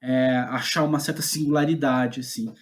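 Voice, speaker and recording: A man's voice: mid-range voice, steady tone, normal speed. A Brazilian man talks as an interview guest about his own working life. His delivery is casual and conversational, with a self-deprecating streak and a tendency to chuckle as he speaks. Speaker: guest playwright.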